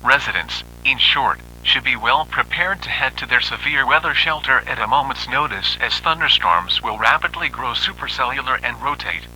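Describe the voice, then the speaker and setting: A male weather radio announcer with a very bright, forceful tone reads a formal scripted bulletin. His delivery is impersonal and official. There is faint noise and buzz on the recording. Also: mid-range voice, lively intonation, normal speed, hardly any pauses, rough recording.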